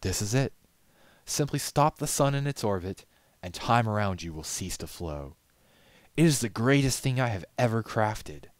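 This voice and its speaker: Man playing a calm, deep-voiced devoted glassblower pony. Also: moderate pace, no room sound, close to an everyday voice. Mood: calm pride.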